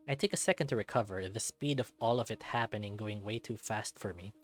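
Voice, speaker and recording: A man reading a visual novel aloud in an expressive way, dropping in casual asides of his own. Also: on the low side.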